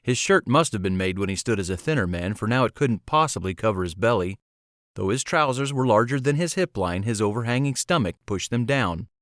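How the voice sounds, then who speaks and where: A man's voice: on the low side, lively intonation, brisk, a bit bright, dry recording, studio quality. A man reading scripted fantasy storybook narration as an audiobook narrator.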